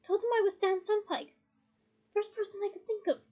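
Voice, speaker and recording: A woman's voice: very high-pitched, steady tone, brisk, mellow, pitched above the performer's own voice. A woman voicing an agitated, defensive teenage boy wizard who protests and explains himself in a story reading. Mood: explaining.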